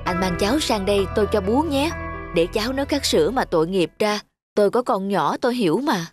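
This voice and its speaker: A woman playing a compassionate young village mother in a cartoon, earnest, expressive and pleading. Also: medium pitch, fairly quick, soft background music, natural voice. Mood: kind. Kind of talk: cartoon voice acting.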